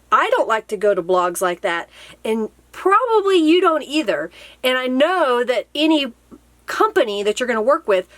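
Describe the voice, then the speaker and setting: A woman's voice: a little high, fairly bright tone, no echo. A middle-aged woman giving casual instructional advice to camera in an explanatory way, often posing questions and then answering them. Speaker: craft video host and blogger. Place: small cluttered indoor craft room.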